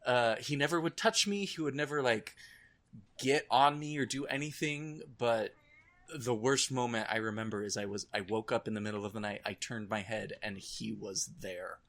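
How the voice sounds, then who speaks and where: A man's voice: mid-range voice, moderate pace, fairly bright tone. A man co-hosting a podcast in casual conversation, with an informal, wry, self-deprecating way of talking. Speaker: podcast co-host. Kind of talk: casual chat about nightmares and horror films.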